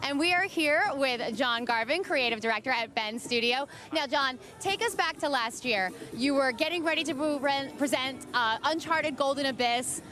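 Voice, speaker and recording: A woman interviewing a guest at a live event, bubbly and excited. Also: high voice, normal speed, hardly any pauses, faint music behind. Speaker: correspondent, interviewer.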